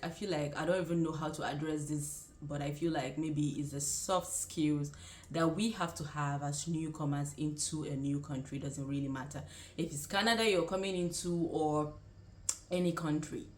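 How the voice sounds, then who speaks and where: A woman's voice: deep voice, normal speed, a little hiss or hum. A young woman from Nigeria with a Nigerian accent, chatting casually as a vlogger giving advice, often circling back to rephrase as she explains. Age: young adult. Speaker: vlogger and nursing student. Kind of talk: casual direct-to-camera advice chat. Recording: roomy, echoing sound.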